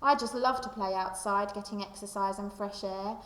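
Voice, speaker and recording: A woman's voice: medium pitch, fairly even intonation, normal speed, a bit bright. A woman, a primary school teacher, reading a children's book aloud and speaking directly to young listeners. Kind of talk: expressive children's storybook read-aloud with reflective questions. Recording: echoey room with long reverb.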